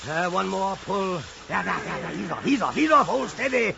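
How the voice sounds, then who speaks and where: An actor playing an obsessed, defiant old whaling captain, declaiming in grandiose, theatrical style in an old-time radio drama. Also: male voice, high-pitched, lively intonation, moderate pace, creaky, exaggerated voice. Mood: straining, frantic. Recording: muffled, noisy old radio drama recording.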